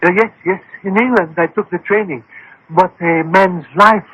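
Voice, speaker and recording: An actor in a radio drama playing a deferential, cautious mystic with a formal way of speaking. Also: male voice, high-pitched, moderate pace, sharp tone, breathy edge, muffled recording, heavily acted. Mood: nervous, cautious. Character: deferential, cautious male crystal gazer's assistant, Sikh name.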